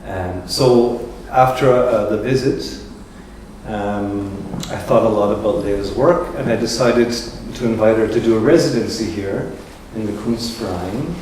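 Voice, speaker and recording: A man hosting a gallery talk as curator, speaking in a measured, reflective way as he searches for words. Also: on the low side, darkish tone. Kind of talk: public talk introducing an artist. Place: large echoey gallery room. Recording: echoey gallery room from far off with ambient noise.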